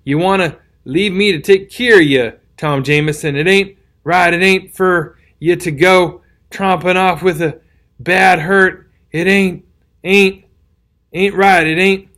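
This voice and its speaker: Guy putting on a hurt old-timey country soldier, drawl, folksy and slurring, higher than his own voice. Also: moderate pace, fairly bright tone, lightly acted. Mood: pleading. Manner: folksy, rambling, garrulous. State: weak from a wound, slurring. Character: wounded, rambling, kindly rural soldier man. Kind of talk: audiobook character reading.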